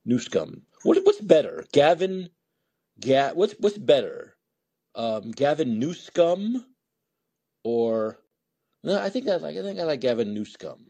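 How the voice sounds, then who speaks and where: A man's voice: on the high side, very animated, unhurried, creaky, warm tone, breathy edge. A man hosting a call-in talk show, blunt and sarcastic, rambling freely through his opinions in live monologue.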